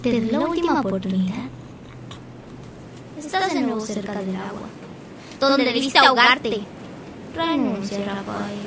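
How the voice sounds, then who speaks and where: A woman's voice: fairly high pitch, lively intonation, unhurried, pauses often, background noise. A woman voicing a menacing tempter spirit that taunts in a dream, in a radio drama. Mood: menacing, commanding.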